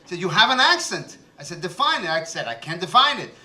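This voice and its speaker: Joking cuban-american emcee in a roomy hall. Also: male voice, high-pitched, very animated, pushed and bright. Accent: Cuban-American, raised in New Jersey. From Cuba. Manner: teasing, self-mocking, quick jokes. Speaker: host and emcee. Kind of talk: joking emcee speech at an awards ceremony. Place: stage in an event hall. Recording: roomy hall, mic heard from far off.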